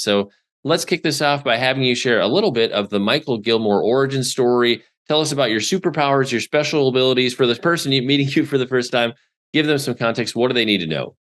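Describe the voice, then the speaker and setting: A man's voice: mid-range voice, fairly bright tone. A man hosting a podcast interview, with an upbeat, scripted-sounding intro and friendly questions. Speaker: podcast host.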